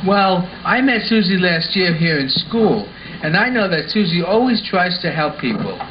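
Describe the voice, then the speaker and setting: A man's voice: high voice, big pitch swings, normal speed. A man playing an earnest, sensible schoolboy in a scripted puppet show, explaining things instructively. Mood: sincere. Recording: dull lo-fi sound with faint noise.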